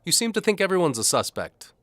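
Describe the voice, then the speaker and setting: A man's voice: sits high, very animated, quick pace, fairly bright tone, no room sound, pitched above the performer's own voice. A man acting a haughty, impatient, scornful nobleman in an audio drama. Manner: haughty, indignant.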